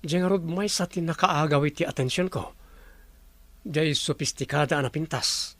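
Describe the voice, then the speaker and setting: A man's voice: fairly high pitch, normal speed, dry recording. An actor playing a polite male resort owner who greets guests courteously.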